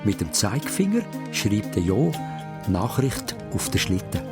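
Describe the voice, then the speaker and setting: Male narrator, Swiss German accent, lively swings in pitch, suspenseful short phrases, children's radio play, prominent music. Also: measured pace. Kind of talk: dramatic scripted children's story narration.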